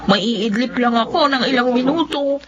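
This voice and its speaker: A woman's voice: fairly high pitch, normal speed, crowd noise, lightly acted. A Filipino woman acting in a radio drama as a determined, dutiful young eldest daughter working abroad. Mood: chatty, determined. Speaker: radio drama actress and narrator. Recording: dull lo-fi radio drama, music bed. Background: background music.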